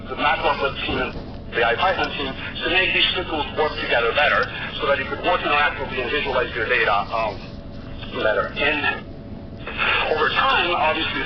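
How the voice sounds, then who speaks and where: A middle-aged man from Colombia giving a conference talk, earnest, with frequent restarts and fillers. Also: a little high. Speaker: conference speaker. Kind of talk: keynote talk. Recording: dull, noisy recording.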